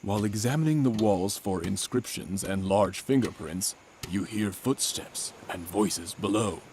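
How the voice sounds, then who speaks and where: A man giving a deadpan, mock-solemn dramatic reading of a comic adventure story. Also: on the low side, measured pace, a little hiss or hum. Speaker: narrator.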